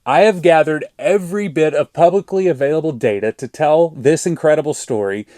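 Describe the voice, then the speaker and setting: Male presenter, Arkansas drawl, brisk upbeat read of facts, dry close mic. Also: fairly high pitch, dark tone, dry recording. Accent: Arkansas Southern US. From Arkansas, USA. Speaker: guest presenter. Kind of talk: scripted EV news update.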